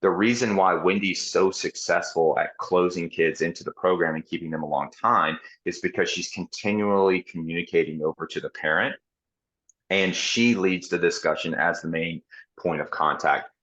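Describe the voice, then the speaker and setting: A man's voice: sits low, fairly even intonation, normal speed, fairly bright tone. A man speaking as a gym owner who leads a roundtable, giving business coaching on a group call. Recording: dull, muffled call audio.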